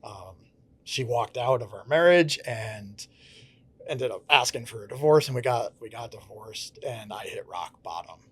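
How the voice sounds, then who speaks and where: A man's voice: mid-range voice, expressive, measured pace, breathy edge. A man from Connecticut with a Connecticut accent, a pastor telling his life story in an earnest, reflective way during a casual interview.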